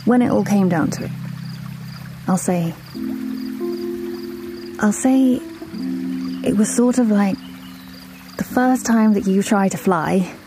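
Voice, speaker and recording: A woman's voice: medium pitch, slow, pauses often, music in the background. A woman playing an earnest, reflective bird in an audio drama, speaking hesitantly and circling back over phrases. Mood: wistful, searching.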